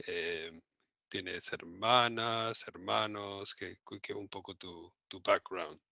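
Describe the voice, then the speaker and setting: A man in his forties hosts an interview at a very slow, unhurried pace. His voice is sharply bright, and the sound is dry and close. He leans on hesitant fillers between questions. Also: sits low, steady tone, creaky, no echo, muffled recording. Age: 40s. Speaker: podcast host. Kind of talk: interviewing a guest. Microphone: video call.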